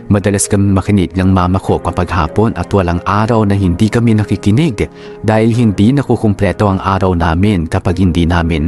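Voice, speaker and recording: Actor as young filipino guy reading his love letter, very low voice, polite, music under. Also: darkish tone, lightly acted. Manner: earnest, polite. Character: earnest young male letter sender telling his love story. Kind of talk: radio drama narration of a letter.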